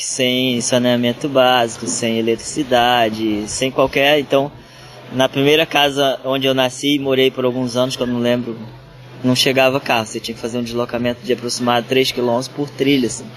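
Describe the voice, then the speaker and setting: Northeastern Brazilian man, trail-running guest, middle pitch, meandering casual storytelling full of restarts. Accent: northeastern Brazilian Portuguese. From Ceará, Brazil. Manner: rambling, with many restarts and fillers. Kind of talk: casual interview talk.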